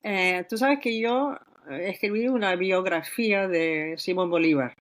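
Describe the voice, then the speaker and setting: An older woman from Peru, an author speaking in a podcast interview, thoughtful and reflective, pausing often to find her words. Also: fairly low pitch. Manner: thoughtful, reflective, with frequent hesitations. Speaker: author guest.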